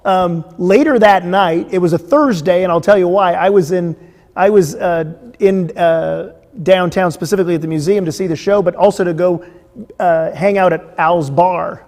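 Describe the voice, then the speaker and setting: Host, male, 50s, high gravelly voice, lively storyteller, big reverberant studio. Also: moderate pace. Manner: animated storytelling with lively gestures. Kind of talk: casual interview chat. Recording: long reverb of a big room.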